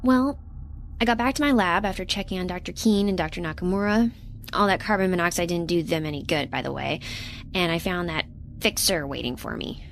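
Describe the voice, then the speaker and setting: Low-voiced, earnest woman scientist. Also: brisk, creaky, slight background noise. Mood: uneasy. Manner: hesitant, chatty, rambling, self-correcting. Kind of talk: naturalistic science fiction audio drama acting.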